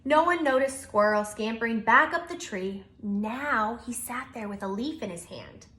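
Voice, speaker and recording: A woman's voice: fairly high pitch, moderate pace. A woman preschool teacher speaking expressively as she leads a children's story time. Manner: expressive, animated delivery. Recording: roomy, echoey room.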